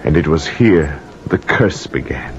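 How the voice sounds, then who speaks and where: A man's voice: rumbling low, animated, unhurried, gravelly, a little hiss or hum, heavily acted. An actor playing a haunted, cursed old mariner who tells his tale urgently and poetically in 1940s radio drama style. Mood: grave, brooding. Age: older. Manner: intense, poetic, incantatory storytelling. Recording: dull vintage 1940s radio recording.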